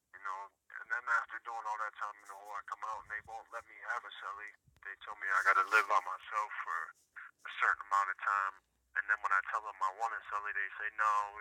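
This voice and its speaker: An American man speaking over a telephone, giving an earnest conversational account peppered with "you know". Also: lowish voice. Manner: repeatedly says "you know". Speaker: prison inmate being interviewed by phone. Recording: sharp prison phone line.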